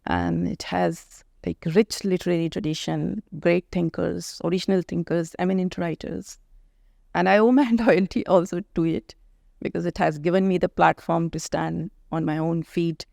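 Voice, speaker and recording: A middle-aged woman from India with an Indian accent and Hindi as her first language, talking as a poet and author guest in a thoughtful interview, pausing often to find her words. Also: fairly low pitch, fairly even intonation, gravelly, darkish tone, no echo, clean studio sound. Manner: thoughtful, searching for words with frequent pauses. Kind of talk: reflective conversational interview.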